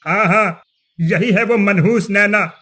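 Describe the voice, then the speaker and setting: Guy doing a mean greedy village chief for a cartoon, high sharp voice, always sneering and accusing. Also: takes it slowly, heavily acted. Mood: gloating. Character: cruel, scornful, greedy village headman. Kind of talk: cartoon moral story voice acting.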